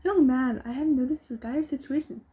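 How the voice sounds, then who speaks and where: A woman's voice: high voice, fairly quick, warm tone, highs cut off, voice pushed up, natural voice. A woman voicing a pompous, politely evasive town mayor in a storybook, speaking formally. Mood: feigned sympathy.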